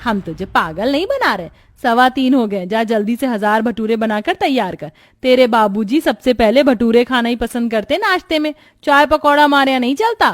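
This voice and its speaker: A woman's voice: a little high, no room sound, studio quality, lightly acted. A woman voicing a bossy, demanding middle-aged mother-in-law who commands and scolds in a cartoon story.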